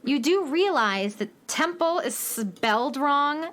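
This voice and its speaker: A woman's voice: high voice, lively intonation, takes it slowly, fairly bright tone, heavily acted. An actress playing a vain, bossy female hatchling in a children's radio comedy, boastful and dramatic. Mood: haughty curiosity. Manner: boastful, dramatic, critical of others' mistakes. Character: vain, bossy female hatchling seeking her grand origins.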